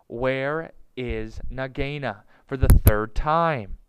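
A man voicing an impatient male mongoose hero in a storybook, brisk and commanding. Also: medium pitch, big pitch swings, noisy background. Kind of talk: storybook character voice.

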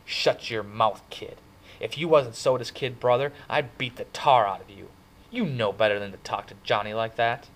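Tough teen greaser, loud and fierce, protective older buddy; male reader voicing him. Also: mid-range voice, lively intonation, fairly quick, few pauses, pitched above the performer's own voice, lightly acted, rough recording. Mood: scolding. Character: tough, wisecracking teenage boy, protective.

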